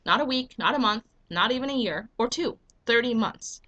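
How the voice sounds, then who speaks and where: Bright-voiced young woman with a cold. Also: fairly high pitch, animated, normal speed, muffled recording. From United States. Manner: earnest, emphatic, rhetorical questions. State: getting a cold. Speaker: vlogger and business owner. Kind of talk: persuasive webcam vlog. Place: small indoor room at home. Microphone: webcam at desk.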